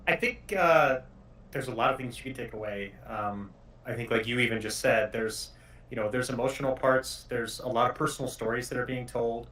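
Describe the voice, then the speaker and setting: A man's voice: mid-range voice, moderate pace, fairly bright tone. A male game developer talking casually in an interview. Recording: distant mic.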